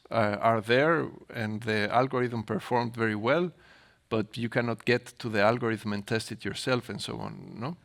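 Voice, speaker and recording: A man speaking as a research panelist, answering informally in halting phrases and often ending on a questioning tag. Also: medium pitch, vocal fry, fairly bright tone. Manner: halting, tags sentences with "no?".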